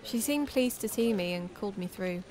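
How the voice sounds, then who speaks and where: A woman's voice: mid-range voice, animated, normal speed, crowd noise, lightly acted. A woman playing an uneasy investigator, narrating her story in scripted first person, confidingly. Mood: uneasy, reflective.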